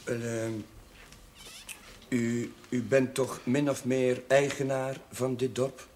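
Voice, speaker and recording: A man's voice: medium pitch, flat delivery, slow pace, darkish tone, close to an everyday voice. A man playing an earnest, awkward, well-meaning village chaplain in a television drama, speaking politely and with hesitation. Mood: hesitant, insistent. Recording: faint noise, old television soundtrack.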